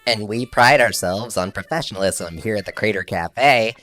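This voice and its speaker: A man's voice: on the low side, normal speed, pushed and bright. A man playing a grumpy, sarcastic service technician in an audio drama, with a grudging way of talking. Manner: sardonic, grudging. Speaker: garage technician.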